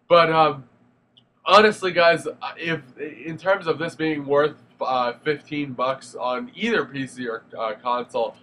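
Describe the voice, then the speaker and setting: A man's voice: medium pitch, fairly bright tone, slight background noise. A young man with a stuffy nose from a cold, giving a casual, rambling spoken review of a game with lots of fillers and restarts. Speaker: gaming video reviewer.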